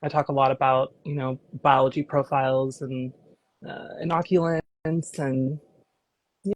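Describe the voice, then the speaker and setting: A woman, slightly raspy from a cold, talking casually as a grower and consultant in a livestream interview, with many fillers. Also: a bass voice, little pitch movement, measured pace, dry recording, highs cut off.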